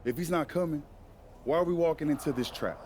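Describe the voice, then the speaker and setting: A man's voice: fairly high pitch, brisk, darkish tone, a little hiss or hum, close to an everyday voice. A man playing a loyal, questioning pro wrestler in a video game cutscene, urgent and slangy. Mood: challenging.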